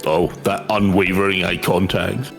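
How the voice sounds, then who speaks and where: A man with a lowish voice banters casually as a co-player on a game stream. His manner is blunt and sweary, and soft music plays behind him. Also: little pitch movement, moderate pace, gravelly.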